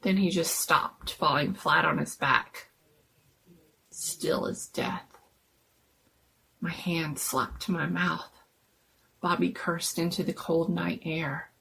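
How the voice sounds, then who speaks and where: A woman's voice: low voice, fairly even intonation, takes it slowly, gravelly, long pauses, fairly bright tone, slight background noise, low-quality recording. A woman giving a dramatic, suspenseful scripted narration of a scary story.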